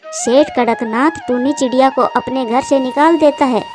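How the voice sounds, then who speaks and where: A woman's voice: sits high, normal speed, darkish tone, soft background music. A woman narrating a scripted children's moral story as a storyteller.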